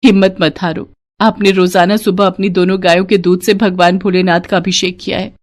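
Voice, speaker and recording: A woman's voice: fairly low pitch, level tone, a little fast, close to an everyday voice. Woman playing an earnest, devout village wife. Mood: reassuring. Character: devout, caring village wife who tends cows.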